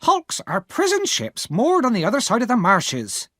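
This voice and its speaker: A man's voice: very high-pitched, wildly swooping pitch, normal speed, fairly bright tone, dry recording, studio quality, pitched above the performer's own voice, heavily acted. A man voicing a bad-tempered older woman who scolds sharply and impatiently. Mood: snapping.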